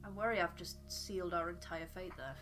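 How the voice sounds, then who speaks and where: A woman's voice: lowish voice, fairly quick, over music, low-quality recording. A woman co-hosting a game playthrough, reading game text and verse aloud. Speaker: co-host of a voiced game playthrough.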